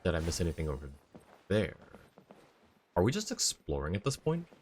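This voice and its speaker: A man's voice: low-pitched, wildly swooping pitch, normal speed, darkish tone, slight background noise. A man chatting casually with viewers and muttering to himself as he commentates on his live gameplay. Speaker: live streamer. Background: game music.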